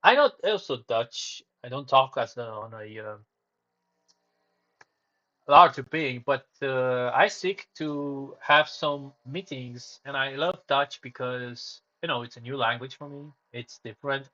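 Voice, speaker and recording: Man, small streamer talking solo; wandering, self-correcting delivery at a middle pitch, slightly muffled audio. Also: measured pace, bright, forceful tone. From Romania. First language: Romanian. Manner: rambling, self-correcting, drifts off topic. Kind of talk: casual solo livestream chat.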